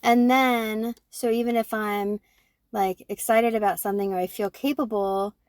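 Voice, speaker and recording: A woman in her forties, co-hosting a podcast, talking through her own thoughts in an animated way and often checking in with "right?". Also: a little high, moderate pace, no echo, clean studio sound. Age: 40s. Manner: animated, self-reflective, fond of tag questions like "right?". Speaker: podcast co-host. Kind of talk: casual conversation.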